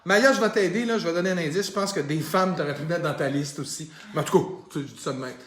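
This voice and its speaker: A Québécois-accented man co-hosting a live gallery talk, joking and lively. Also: fairly high pitch, fast. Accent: Québécois French. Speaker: co-host. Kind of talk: casual live conversation. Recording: echoey room, distant mic.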